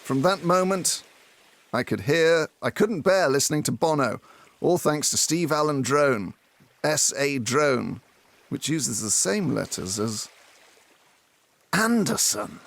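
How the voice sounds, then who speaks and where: A man's voice: fairly high pitch, expressive, slow, vocal fry, long pauses, a bit bright, exaggerated voice. A man playing a brooding, self-important detective in a comic audio drama, speaking with earnest melodrama. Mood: aggrieved brooding. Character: grown Irish police detective, brooding, self-important, aggrieved.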